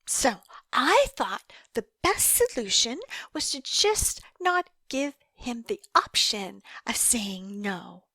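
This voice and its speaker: Woman acting high-pitched, lovesick, unstable young yandere. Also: big pitch swings, dry recording, exaggerated voice. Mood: shy, yearning. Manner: coy, halting, breathy hushed asides, emotional swells. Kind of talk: audio roleplay monologue, intimate dramatic acting.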